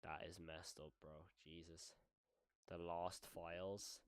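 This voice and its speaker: A man's voice: sits low, flat delivery, slow pace, dry recording. A man doing casual live reaction commentary as a streamer, rambling and thinking aloud with some mild swearing.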